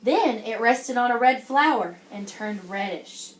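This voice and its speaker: Female primary teacher, high-pitched, interactive read-aloud, encouraging and checking in often, recorded in an echoey room. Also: lively intonation, measured pace, slight background noise. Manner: encouraging, addresses the class as guys, frequent check-in questions. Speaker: teacher reading a picture book to young children. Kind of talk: interactive classroom read-aloud with questions and praise.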